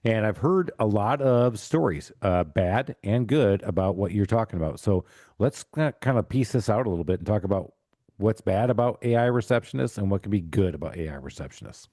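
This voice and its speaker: Low-voiced man hosting a casual podcast. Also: lively intonation, brisk, mellow, dry recording, studio quality. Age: middle-aged. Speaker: podcast host. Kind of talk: casual chat interview.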